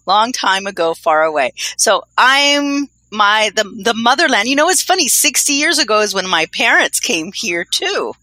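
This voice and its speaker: A woman's voice: on the high side, big pitch swings, moderate pace, hardly any pauses, bright, forceful tone, no room sound, rough recording. A woman co-hosting a podcast, chatting in a rambling way with many fillers. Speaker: podcast co-host. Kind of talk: casual podcast chat.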